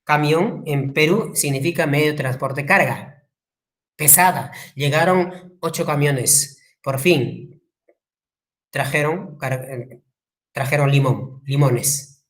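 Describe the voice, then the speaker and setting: A woman's voice: sits low, takes it slowly. A woman with a Peruvian Spanish accent giving a classroom lecture, acting out quotes and asking the class questions. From Peru. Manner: lively, acts out quotes, asks the class questions. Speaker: teacher.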